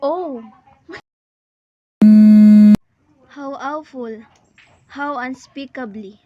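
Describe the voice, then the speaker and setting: A woman playing an anxious, sickly, demanding wife in an amateur radio play, fretful, halting and insistent. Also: sits high, lo-fi sound. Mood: shaken.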